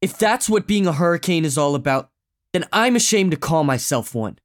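A man's voice: fairly high pitch, lively intonation, brisk, dry recording, clean studio sound, pitched above the performer's own voice, lightly acted. A man voicing a skinny, fiery, indignant teenage boy in an audiobook. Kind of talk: audiobook character dialogue.

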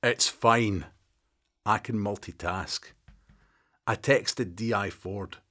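A man voicing a wry, teasing Scottish detective past forty with a deadpan humour. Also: on the low side, takes it slowly, heavily acted.

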